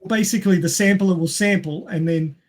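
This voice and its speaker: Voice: mid-range voice, a little fast, faint music behind. A panelist on a casual talk podcast with a mid-range voice. The delivery is playful and explanatory, posing questions to the group and laying out answers conversationally.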